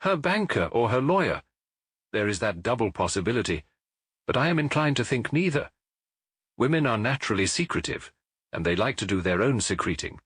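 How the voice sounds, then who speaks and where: A man voicing a brilliant, self-assured Victorian gentleman detective in an audiobook, with an English accent and a precise, authoritative way of giving instructions. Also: mid-range voice, sing-song, a little fast, husky, no echo, clean studio sound. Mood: confident, reasoning. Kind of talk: audiobook character acting.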